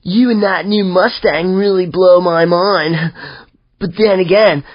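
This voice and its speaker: A spacey sixties hippie drawls through video game quips in a mellow, dazed way. He sounds like a peace-loving slacker. The audio is dry, close and a bit dull. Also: high voice, measured pace, dry recording.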